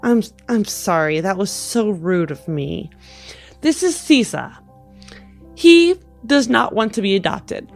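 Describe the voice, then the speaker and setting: A woman playing a polite, earnest, questioning would-be adoptive parent in an improvised tabletop role-play. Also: mid-range voice.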